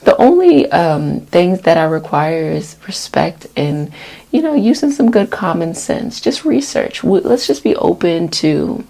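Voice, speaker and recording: A woman hosting a casual self-care healing session, talking in an encouraging, reassuring, conversational way with a husky, creaky voice. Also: fairly low pitch, sing-song, measured pace, warm tone. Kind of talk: casual spoken wellness talk for an ASMR healing session.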